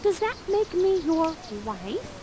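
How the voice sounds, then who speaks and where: A woman's voice: extremely high pitch, big pitch swings, slow pace, mellow, pitched above the performer's own voice, heavily acted. A woman voicing a lovestruck young maiden in a girlish, gushing stage manner, against a noisy background.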